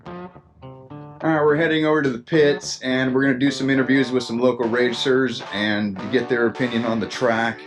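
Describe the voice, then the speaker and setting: A man's voice: mid-range voice, steady tone, normal speed, poor audio. A man hosting an on-location video, talking casually and upbeat at an outdoor raceway. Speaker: host. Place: outdoor dirt-track raceway. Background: loud guitar music.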